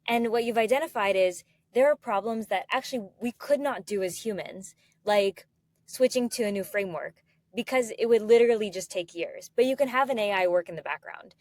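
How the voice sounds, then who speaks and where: A woman's voice: medium pitch, a little fast, a little mellow, no echo. A woman hosting an interview in a casual, conversational way, enthusiastic as she recaps and asks questions. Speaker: interview host.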